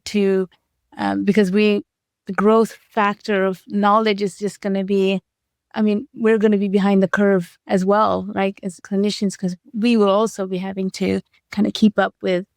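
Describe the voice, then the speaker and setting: Female interview host, slightly low and level voice, deliberate phrasing, reflective style. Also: vocal fry, a little mellow, clean tone, no room sound, studio quality. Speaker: podcast co-host, clinician.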